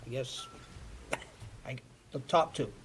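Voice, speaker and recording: A man answering as a candidate at a town forum, flat and hesitant. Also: medium pitch, takes it slowly, a little hiss or hum.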